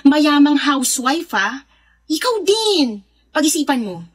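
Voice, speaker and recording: Pushy young filipina friend, high swoopy voice, slangy radio drama sweet-talk. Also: female voice, very animated, unhurried. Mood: persuasive, teasing. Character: brazen, persuasive young woman pushing her friend into paid dating.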